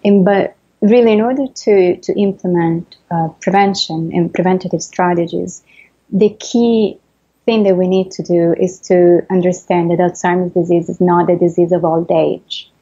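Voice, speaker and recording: A woman with an Italian accent, a neuroscientist answering interview questions in a measured, explanatory way. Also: fairly low pitch, fairly even intonation, mellow, breathy edge, highs cut off. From Italy. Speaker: neuroscientist guest.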